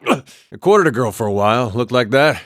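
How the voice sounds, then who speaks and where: An actor playing a laid-back, wry farmer and war veteran in a video game, with a folksy drawl and dry, understated humor. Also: male voice, mid-range voice, animated, moderate pace, a bit bright, no room sound, natural voice. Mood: easygoing, dry. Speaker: video game companion character. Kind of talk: video game voice acting, story, banter and fight barks.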